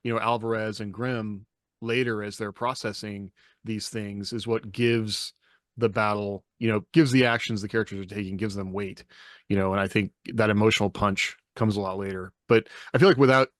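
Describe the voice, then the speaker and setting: Lowish-voiced man in a dry recording. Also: steady tone, normal speed, dry recording. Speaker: podcast co-host. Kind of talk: casual panel discussion.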